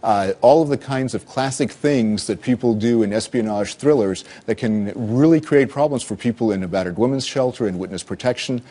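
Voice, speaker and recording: An American man speaking as an expert guest in a news interview, explaining things in a measured way. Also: mid-range voice, mellow. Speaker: expert guest, cybersecurity consultant and former military intelligence officer.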